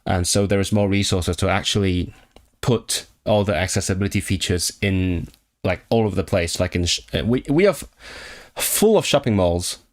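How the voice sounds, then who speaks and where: Young guy streaming, lowish voice, thinks out loud, lots of restarts and questions to chat. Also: animated, moderate pace. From Hong Kong. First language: Cantonese. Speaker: language-learning streamer. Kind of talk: casual live-stream chat reading viewer comments.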